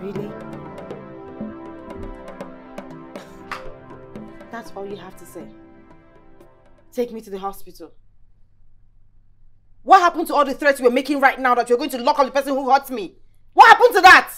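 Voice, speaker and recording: A wronged, confrontational girlfriend in a film drama. Her voice is high and very animated, emotional and accusing, with soft music behind it. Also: racing. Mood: bitter, wounded. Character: hurt, indignant young girlfriend, wronged and confrontational.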